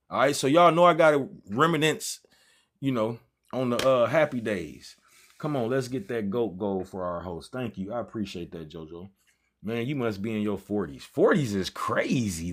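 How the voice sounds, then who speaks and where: A man in his thirties hosting a trading livestream, talking casually in loose slang and drifting off topic as he chats with viewers. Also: lowish voice. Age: 30s. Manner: loose slangy banter, easily sidetracked. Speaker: trading stream host.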